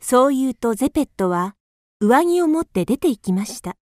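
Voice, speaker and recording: A woman narrating a children's radio drama in a calm, clear storytelling manner. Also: high-pitched, moderate pace, dry recording, studio quality.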